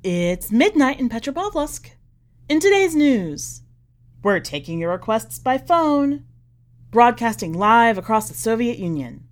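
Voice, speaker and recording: A woman's voice: fairly high pitch, very animated, a little slow. A woman voicing a female Soviet radio announcer from old broadcasts, formal and declamatory, within a story reading. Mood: brightly official.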